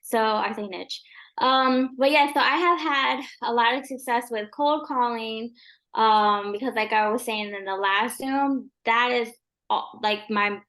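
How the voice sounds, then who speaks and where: A woman real estate agent speaking as a guest in a casual interview, with a soft voice and a relaxed, rambling way of talking full of ums and likes. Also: mid-range voice.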